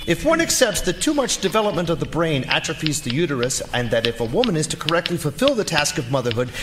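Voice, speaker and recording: Pompous, highish-voiced Victorian gentleman. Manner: pompous, precise, formal. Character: pompous Victorian gentleman reading out his medical advice. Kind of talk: live radio theater letter reading. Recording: noisy live stage recording with clicks.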